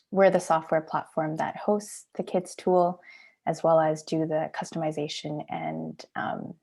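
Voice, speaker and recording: Creaky, mellow woman giving a walkthrough. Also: fairly low pitch. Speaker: project manager demonstrating software. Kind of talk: informal walkthrough presentation.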